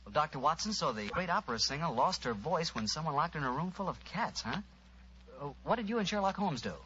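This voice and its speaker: A muffled vintage broadcast carries an American man announcing and selling. His voice sits fairly high, bright and animated, in polished 1940s radio advertising style. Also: fast. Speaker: radio announcer and sponsor pitchman. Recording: muffled, hissy old-time radio recording.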